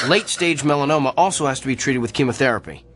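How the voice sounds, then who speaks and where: A man's voice: medium pitch, animated, fairly quick, a bit bright, slightly rough, soft background music. An actor playing a blunt, tactless male doctor in a radio drama, speaking in a detached, matter-of-fact way. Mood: detached, clinical.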